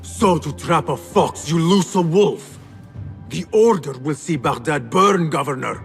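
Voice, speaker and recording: An actor playing an earnest, bold young male assassin in a video game, speaking formally and with measured words. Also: a little high, creaky. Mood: accusing, intense. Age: young adult. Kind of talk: cinematic video game acting. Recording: music behind the voice. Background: music.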